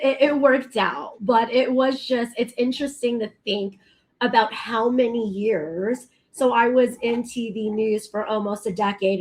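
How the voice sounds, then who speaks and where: A woman in her thirties chatting as a talk show guest, bubbly and laughing between her stories. Her voice sits a little high and she takes her time, drawing out her words. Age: 30s. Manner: bubbly, laughs often, chatty with many fillers. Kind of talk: casual chat.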